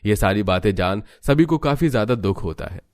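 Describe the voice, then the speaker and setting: A man narrating an animated family story in a steady, even storytelling delivery. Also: on the low side, brisk, dry recording, studio quality. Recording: music under the voice.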